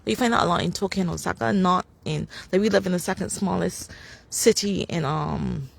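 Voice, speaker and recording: A woman's voice: fairly low pitch, brisk, creaky, breathy edge. Barbadian woman rambling on a live stream. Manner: rambling, many fillers and restarts, laughs often. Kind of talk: casual live-stream chat. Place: outdoors on a city street. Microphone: phone camera.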